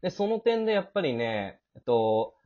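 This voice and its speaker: A man's voice: a little high, sing-song, normal speed, muffled recording. A man hosting a casual cast after-talk, talkative and given to chuckling. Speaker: host.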